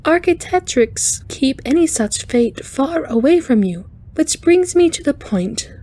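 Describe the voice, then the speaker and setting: A woman's voice: sits high, expressive, warm tone, pitched above the performer's own voice. A woman voicing a cheerful, intense female alien spider engineer in an audiobook, with a light chiming voice and courteous, precise speech. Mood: earnest, brisk. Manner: brisk, precise, courteous, slightly formal. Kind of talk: audiobook character voice.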